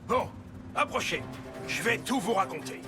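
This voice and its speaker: A man's voice: sits high, expressive, normal speed. An old motorbike in a children's cartoon. He is nostalgic and confiding, spinning tales with a dramatic, swooping delivery. Music plays behind him. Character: nostalgic old male motorbike, theatrical storyteller. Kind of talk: French cartoon dub acting. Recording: music bed under the voice.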